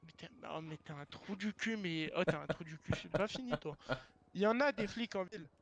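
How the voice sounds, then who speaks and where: A man's voice: high voice, fairly quick, fairly bright tone. A man arguing bluntly and in exasperation in online game voice chat, as the server's founder. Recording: clearly noisy game voice chat.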